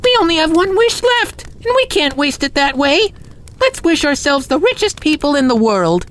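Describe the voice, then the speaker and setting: Bossy storybook wife: shrill, very high, wildly swooping, scolding peasant woman. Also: huge pitch swings, brisk, slight background noise. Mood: wailing protest. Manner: shrieking, exclamatory.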